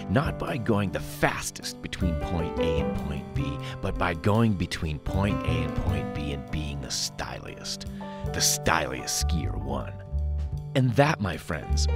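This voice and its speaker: A middle-aged American man narrating a documentary in a reflective, storytelling way with deliberate emphasis. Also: deep voice. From United States. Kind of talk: scripted documentary narration, reminiscing. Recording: voice-over with loud music underneath. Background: music with guitar.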